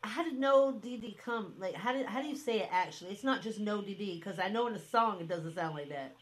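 A woman's voice: mid-range voice, brisk. Chatty woman radio host, rambling. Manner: chatty, rambling, many fillers and laughs. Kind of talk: casual interview chat.

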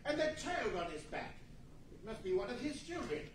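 A man playing an anxious, halting, pleading old miser in a 1940s-style radio play. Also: very high-pitched, sing-song. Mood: shocked, saddened. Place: large meeting hall before an audience. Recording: distant echoey room with faint noise.

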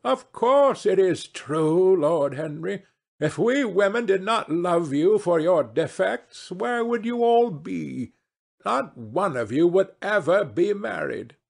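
A man voicing a witty, imperious old aristocratic hostess in a novel reading, effusive, drawn-out and theatrical. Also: sits high, expressive, creaky, voice pushed up, exaggerated voice. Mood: arch, teasing. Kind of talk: dramatised classic novel reading.

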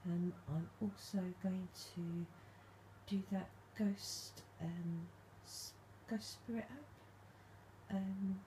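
A woman vlogging at night from her dark bedroom, speaking softly and calling out to spirits, her voice a little stuffed up from hay fever. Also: medium pitch, fairly even intonation, very slow, a little hiss or hum, low-quality recording. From England. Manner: hushed, soft-spoken, calling out to spirits. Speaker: paranormal vlogger. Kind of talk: quiet late-night ghost hunting vlog.

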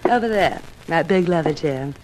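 A woman's voice: low voice, expressive, normal speed. Flirty businesslike saleswoman. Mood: brisk, businesslike. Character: flirtatious, businesslike female insurance agent. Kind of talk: 1950s radio drama acting. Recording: noisy 1950s radio recording.

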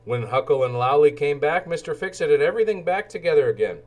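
Man reading a storybook, lively pitch. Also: quick pace. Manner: animated, acts out character lines. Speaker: read-aloud storyteller for children. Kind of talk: expressive children's storybook narration.

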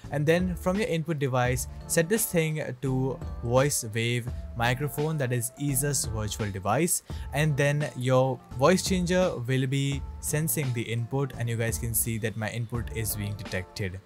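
A man narrating a scripted software tutorial in an upbeat YouTuber manner. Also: medium pitch. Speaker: YouTube tech reviewer. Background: music behind the voice.

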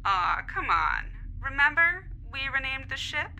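An actress playing a cocky, gloating mutineer captor, casual and playfully taunting, in a science fiction audio drama. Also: female voice, high voice, big pitch swings, measured pace, gravelly, pushed and bright, slight background noise, exaggerated voice, poor audio.

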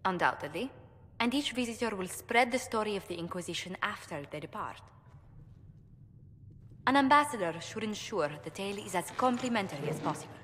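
A woman's voice: mid-range voice, expressive, a little fast, a bit bright, slight background noise, close to an everyday voice. A woman playing a poised court ambassador. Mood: composed. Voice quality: smooth, gracious, diplomatic. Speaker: game character. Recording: reverberant game audio.

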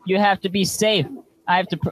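A man voicing an anxious, fussy twelve-year-old boy in an improvised comedic cartoon fan dub, halting and protesting. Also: sits high, steady tone, no echo, close to an everyday voice, rough recording. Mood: flustered, indignant.

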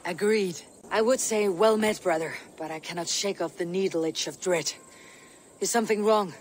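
Guy voicing a brooding viking in a game, higher pitched, blunt, faint noise behind. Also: normal speed, close to an everyday voice. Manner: blunt, stern. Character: blunt, brooding male Viking warrior, impatient. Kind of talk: dramatic video game acting.

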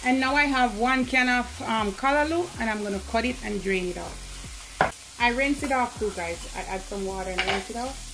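A woman with a Jamaican accent talks her viewers through a home-cooked meal, chatty and informal, tossing in asides to them. Her voice sits a little high. Clear sizzling and stirring from the stove run under her words. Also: moderate pace. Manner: chatty, keeps calling to viewers. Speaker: cooking vlogger. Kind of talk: casual cooking demonstration. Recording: sizzling pan and kitchen noise behind.

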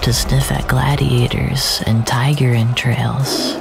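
A narrator delivering a scripted, surreal audio drama in a measured, dramatic way, often sinking into a breathy near-whisper. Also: medium pitch, little pitch movement, music in the background.

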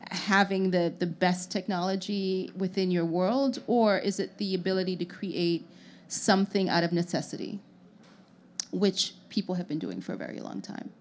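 Earnest woman on a low-pitched panel mic. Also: fairly even intonation, normal speed, a little hiss or hum. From Haiti. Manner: earnest, thoughtful, many small restarts. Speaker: panelist, artist. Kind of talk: panel discussion. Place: lounge venue with audience, indoors. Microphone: handheld mic.

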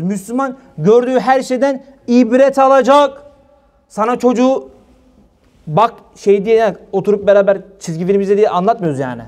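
A man gives an informal religious sermon in a colloquial, animated way, asking rhetorical questions. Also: sits high. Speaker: preacher. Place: small room indoors.

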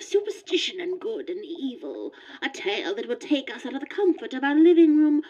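An actress playing an ominous old-time radio announcer, introducing a serial in a grand, measured, dramatic style. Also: female voice, fairly high pitch, rough recording. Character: suave, ominous female announcer of mystery serial.

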